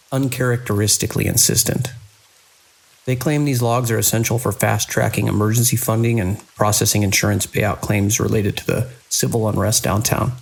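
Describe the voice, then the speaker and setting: A man acting as a weary hospital director in a horror audio drama. His voice is husky with a gravelly fry, and he dictates in measured, formal phrases with a wry edge. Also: lowish voice, rough recording. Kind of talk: scripted audio drama narration.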